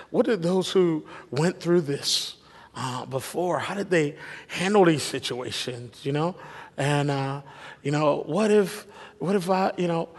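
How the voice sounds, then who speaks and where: Pastor, male, a bit hoarse, slow and searching, echoing sanctuary. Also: medium pitch. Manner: halting, many restarts and fillers, soft reflective prayer. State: losing his voice a little. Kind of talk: sermon. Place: church sanctuary. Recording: long hall reverb.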